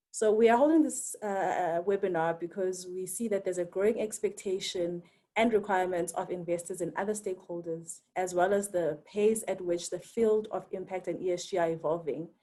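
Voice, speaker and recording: A woman's voice: on the low side, warm tone. A South African woman moderating a webinar, giving a formal introduction read from notes with a few fillers.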